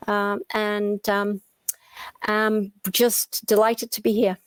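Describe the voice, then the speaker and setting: A woman's voice: mid-range voice, level tone, slow, smooth, no echo. A woman from England with an English accent, a music instructor speaking as a panel guest with many small hesitations. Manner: friendly, full of uhs. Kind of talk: casual panel conversation.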